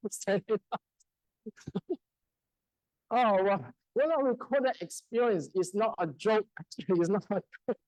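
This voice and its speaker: A man from China in his thirties, whose first language is a Chinese dialect, telling a personal testimony in church in a halting, storytelling way with frequent laughter. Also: high-pitched, a little mellow, no echo, low-quality recording. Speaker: church speaker. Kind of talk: testimony sermon. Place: church hall.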